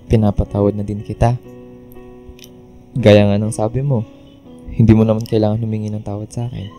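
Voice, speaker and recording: Man acting a gentle young man, very dark, mellow voice. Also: fairly low pitch, level tone, a little fast, soft background music, close to an everyday voice. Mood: understanding. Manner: soft, reassuring. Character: gentle, forgiving young man easing a woman's guilt. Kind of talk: radio drama dialogue.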